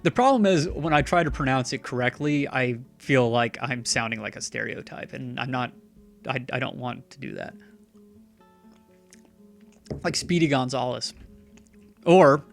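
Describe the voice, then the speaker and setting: Gravelly-voiced man rambling over a game. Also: mid-range voice, animated, unhurried, pauses often, faint music behind. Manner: chatty, sarcastic, talks back to the game's characters. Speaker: streamer playing a game. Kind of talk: rambling live-stream commentary.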